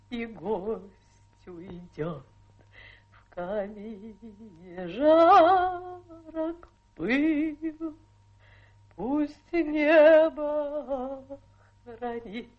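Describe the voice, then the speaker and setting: Self-pitying adventuress, radio drama. Very high, unhurried delivery, plaintive, flirtatious, stagey tragic airs. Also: female voice, highs cut off, exaggerated voice. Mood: dreamy, coquettish. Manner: melodramatic, plaintive, coquettish. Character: theatrical, self-pitying adventuress feigning a tragic fallen lady. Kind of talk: theatrical radio play acting.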